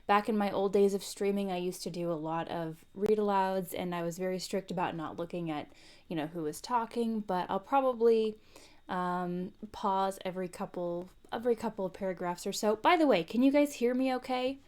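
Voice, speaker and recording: A woman's voice: medium pitch, normal speed, darkish tone. A woman hosting an informal live read-aloud, speaking in a conversational way as she chats and narrates. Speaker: host reading a book aloud on a live stream. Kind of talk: informal livestream chat and audiobook-style narration.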